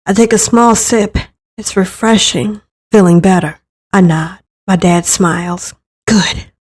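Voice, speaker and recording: Woman narrator reading a visual novel, first person drama, medium pitched voice. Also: measured pace, breathy edge.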